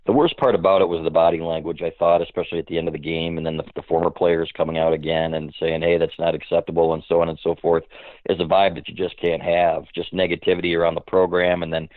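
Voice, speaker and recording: A man's voice: deep voice, flat delivery, fast talker, dry recording. A man giving blunt, casual sports analysis as a guest over a phone line. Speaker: sports editor, podcast guest. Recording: dry, tinny phone line.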